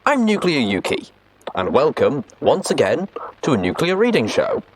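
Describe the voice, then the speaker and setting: Male presenter for a reading show intro, theatrical, with extremely lively pitch swings. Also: moderate pace, slight background noise, rough recording. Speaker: host of a fan fiction reading show.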